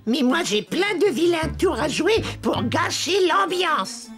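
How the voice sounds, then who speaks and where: A woman's voice: extremely high pitch, big pitch swings, slow, a bit bright, exaggerated voice. A woman voicing a mischievous little male goblin in a children's cartoon, blustering and exclamatory, with theatrical villain posturing. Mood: flustered, protesting. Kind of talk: children's animated cartoon dubbing. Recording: music playing behind the voice.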